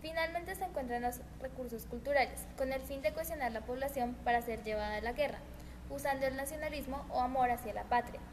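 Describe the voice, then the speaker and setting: A woman's voice: high voice, fairly quick. A woman presenting a history documentary, reading earnestly with small stumbles and restarts. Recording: noisy homemade recording.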